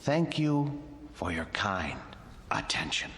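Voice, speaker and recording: An actor playing a devoted, intense museum curator in an audio drama, precise, polite and theatrical, with music underneath. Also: male voice, sits low, big pitch swings, slow pace, fairly bright tone, a little hiss or hum, full character voice. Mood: macabre, theatrical. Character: devoted, brooding middle-aged male wax museum curator, deferential and intense.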